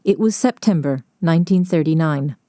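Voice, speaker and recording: A woman's voice: low-pitched, moderate pace, warm tone, a little hiss or hum. A woman reporter narrating a scripted radio documentary.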